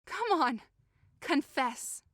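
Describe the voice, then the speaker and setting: A woman's voice: high-pitched, expressive, very slow, dry recording, clean studio sound, heavily acted. A woman playing a hurt, indignant young woman in a modern-English Shakespeare audio drama, impassioned, accusing and sarcastic. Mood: suspicious, accusing. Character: hurt, indignant young woman convinced she is being mocked.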